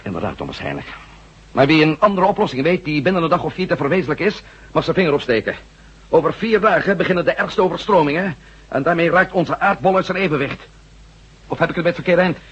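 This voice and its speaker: A man's voice: on the high side, very animated, fast, a bit bright, slightly rough, heavily acted. An actor playing a determined, hot-tempered former astronaut in a science fiction radio drama, speaking emphatically and arguing his case. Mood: determined, urgent. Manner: emphatic, swearing, argumentative. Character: determined, hot-tempered male ex-astronaut adventurer laying out plans. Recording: dull lo-fi radio drama recording.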